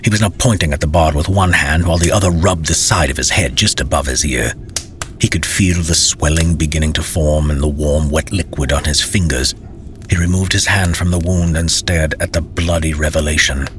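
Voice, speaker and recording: A man reading a scripted fantasy story as narrator, in a measured, dramatic storytelling manner. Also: extremely low pitch, steady tone, slight background noise.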